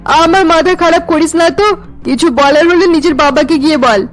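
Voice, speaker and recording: Woman playing a high-voiced, bossy scolding mother. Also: normal speed, faint music behind. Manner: sarcastic, bossy, exasperated. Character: scolding middle-aged mother of a grown son.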